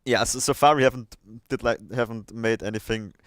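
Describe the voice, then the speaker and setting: A man's voice: medium pitch, steady tone, fairly quick, a bit bright, no echo, clean studio sound. A man hosting a casual livestream chat, thinking aloud and hesitating as he goes. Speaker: livestream host.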